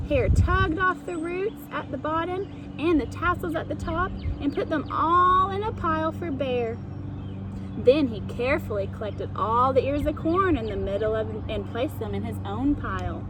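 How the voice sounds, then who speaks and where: A woman narrates a children's storybook with a high voice. She takes her time, reading expressively and explaining like a teacher. Clear ambient noise sits in the recording. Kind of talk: storytime read-aloud narration.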